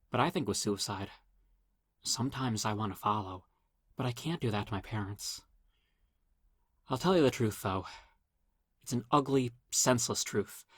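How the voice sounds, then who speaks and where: A man reading a scripted first-person horror story as narrator, in a dramatic, measured storytelling manner. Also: lowish voice, a bit bright, slightly rough, no echo, studio quality.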